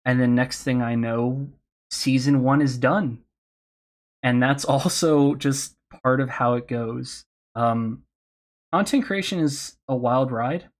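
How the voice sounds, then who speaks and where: A man who hosts a podcast, giving candid, encouraging advice on a convention panel, his voice slightly hoarse. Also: mid-range voice, slow pace. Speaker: podcast host speaking on a panel.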